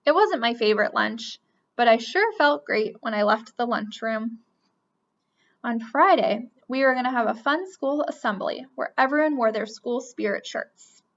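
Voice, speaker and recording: A woman reading a children's picture book aloud with expressive storytelling. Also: on the high side. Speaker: reader. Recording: dull lo-fi recording.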